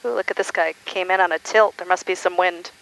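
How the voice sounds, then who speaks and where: A woman's voice: fairly low pitch, steady tone, a little fast, vocal fry, fairly bright tone, low-quality recording. A middle-aged woman chatting casually as a passenger over a plane headset. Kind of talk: casual chat. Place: inside a small propeller plane. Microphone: aviation headset boom mic.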